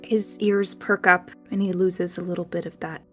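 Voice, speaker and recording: A woman's voice: on the low side, level tone, moderate pace, fairly bright tone, soft background music. A woman playing a tabletop game, narrating her character's actions in descriptive third person. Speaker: player.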